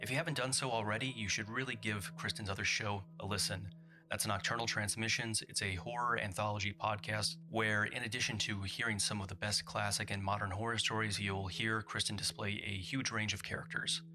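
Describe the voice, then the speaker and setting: Low-voiced man giving podcast announcements. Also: fairly even intonation, fairly quick. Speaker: podcast writer-producer and announcer.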